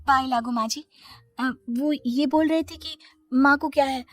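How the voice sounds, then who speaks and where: A woman's voice: sits high, steady tone, a little slow, soft background music. A woman voicing an innocent, honest young daughter-in-law in a cartoon story, speaking matter-of-factly. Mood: innocent, candid. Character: guileless, honest young daughter-in-law who cannot lie.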